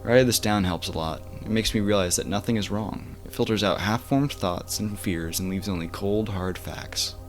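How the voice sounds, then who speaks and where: A man with a fairly low voice narrates a horror story for bedtime listening. He reads in the first person like a home-made audiobook, now and then tripping on a word. Faint music plays behind him. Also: moderate pace, hardly any pauses.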